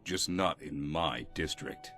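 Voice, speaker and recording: A man's voice: extremely low pitch, takes it slowly, sharp tone, soft background music, heavily acted. A man acting a weary, stern city guardsman in a video game, dry and exasperated.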